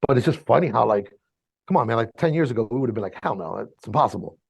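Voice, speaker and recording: A man in his fifties, born in Chile and raised in Miami, whose first language is Spanish, telling his story as a guest in a casual, slangy, animated way. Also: mid-range voice, fast talker, vocal fry, slightly rough, no echo. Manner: animated, slangy, swears freely. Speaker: mixing engineer guest.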